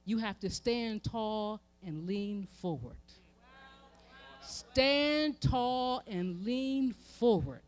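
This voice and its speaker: A woman with an American accent delivers an impassioned sermon, using emphatic repetition and swelling from hushed to forceful. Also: sits high. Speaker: reverend speaking at a memorial event. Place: live event before an audience.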